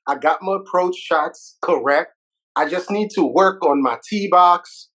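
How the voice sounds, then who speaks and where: A man's voice: high voice, unhurried, fairly bright tone. A middle-aged man from Haiti with a Haitian accent tinged with New York City, hosting a casual interview with jokey, self-deprecating storytelling. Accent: Haitian with New York City mixed in. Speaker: podcast host.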